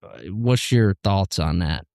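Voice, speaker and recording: A man in his thirties hosts an informal interview. His voice sits somewhat low and is clean and resonant. The recording is dry, and his phrasing is slangy and conversational. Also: lively intonation, takes it slowly, gravelly, a little mellow, no room sound. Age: 30s. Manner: informal, uses slangy address like dude and man. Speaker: podcast host. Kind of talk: casual interview.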